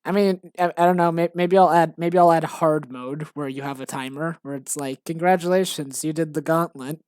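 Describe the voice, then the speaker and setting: A woman's voice: sits low, flat delivery, normal speed, a little mellow, clear, resonant tone, no room sound, clean studio sound. A woman chatting casually on a stream about the game she is making, rambling and self-mocking. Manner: rambling, lots of fillers and restarts, self-mocking. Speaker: game developer streaming her work.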